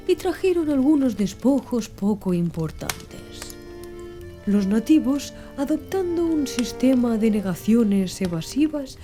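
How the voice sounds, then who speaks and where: A woman narrating a literary audiobook in a measured, formal reading style. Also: fairly high pitch, warm tone.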